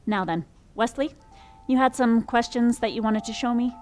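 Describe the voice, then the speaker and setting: A woman acting in an audio drama as a brisk, exacting strategist in charge, direct and businesslike. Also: fairly high pitch, a little mellow, faint music behind, natural voice. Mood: stern.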